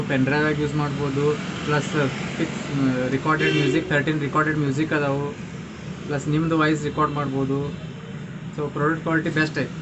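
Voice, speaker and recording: A man with a North Karnataka accent giving a casual hands-on gadget review as a shop owner. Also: on the high side. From Karnataka, India. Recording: loud background noise.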